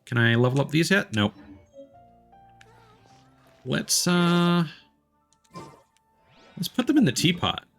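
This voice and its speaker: A man's voice: fairly high pitch, animated, slow pace, vocal fry, long pauses, fairly bright tone, faint music behind. A man livestreaming a video game, thinking aloud in a casual, rambling way and swearing now and then. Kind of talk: casual gaming stream chat.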